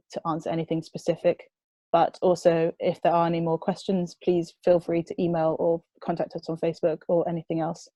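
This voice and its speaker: Young woman, low mellow voice, chatty and hesitant, video call Q&A, dry sound. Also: no echo. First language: not Dutch. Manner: chatty, laughs often, hesitant. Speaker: presenter of a food waste charity. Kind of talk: online Q&A answering.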